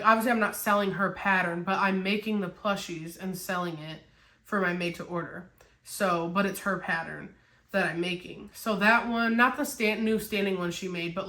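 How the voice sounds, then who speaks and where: A woman's voice: medium pitch, fairly bright tone. Young woman, casual upbeat vlogger, slightly congested, echoey home room. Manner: chatty, rambling, upbeat, frequent "like" and "um". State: congested from a cold. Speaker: small business owner and crochet vlogger. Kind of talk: casual chat to camera.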